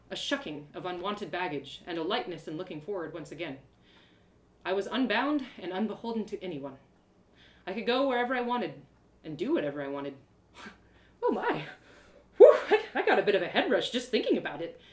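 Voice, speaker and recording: A woman voicing a plucky young girl adventurer who narrates her own journey in an expressive, colloquial storybook style. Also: on the low side, reverberant, natural voice. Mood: apprehensive, then excited, hopeful. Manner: expressive, colloquial, with exclamations and dramatic pauses. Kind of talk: animated first-person storybook narration, live one-woman audio drama.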